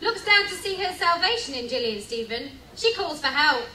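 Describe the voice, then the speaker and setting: A woman narrating a scripted radio play live, reading out sound-effect cues in a lively way. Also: high-pitched, fairly quick. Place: cruise ship venue before a live audience. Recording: echoey distant recording, loud crowd noise.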